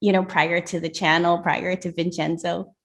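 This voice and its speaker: A woman's voice: on the low side, flat delivery, normal speed, fairly bright tone. A woman hosting an interview who asks questions casually and encourages her guests with short affirmations. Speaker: magazine interviewer.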